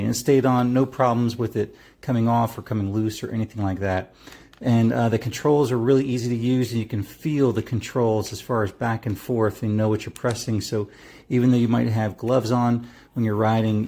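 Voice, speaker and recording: Casual reviewer, middle-aged man. Soft-edged mellow tone, mid-pitched, easygoing and a bit rambling in his explanations. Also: vocal fry. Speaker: product reviewer.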